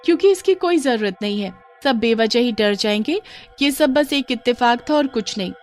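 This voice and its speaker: A woman's voice: fairly high pitch, animated, normal speed, soft background music, close to an everyday voice. A woman voicing a young girl cousin in a children's horror cartoon. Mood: smooth, persuasive. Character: young girl cousin, sweet, later slyly possessed.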